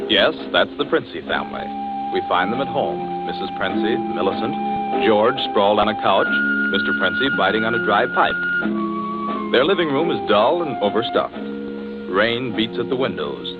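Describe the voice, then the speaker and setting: An actor playing a theatrical, ominous storyteller, narrating a radio suspense play in a measured manner. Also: male voice, on the low side, huge pitch swings. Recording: tinny vintage radio with music behind.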